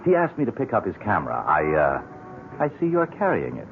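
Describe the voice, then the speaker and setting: A mysterious, smooth-talking man with a very low, raspy voice that rises and falls freely. He is courteous and insinuating, in old radio drama style, through a thin narrow-band broadcast. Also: huge pitch swings, slight background noise. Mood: sly, insistent. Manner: polite, insinuating, unhurried.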